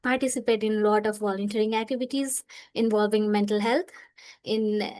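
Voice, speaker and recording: A woman's voice: mid-range voice, steady tone, a little fast, darkish tone. A woman in her twenties from Nepal, a medical student speaking earnestly as a panelist in an online discussion, with frequent fillers and phrasing. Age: 20s. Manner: earnest, uses "like" often, non-native phrasing.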